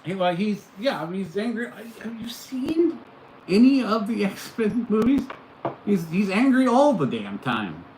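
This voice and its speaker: A man's voice: high-pitched, sing-song, a little slow, darkish tone. A middle-aged man hosting a casual live stream, chatting with viewers and reacting to their comments with amused disbelief. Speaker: livestream host. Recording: echoey room, faint background noise.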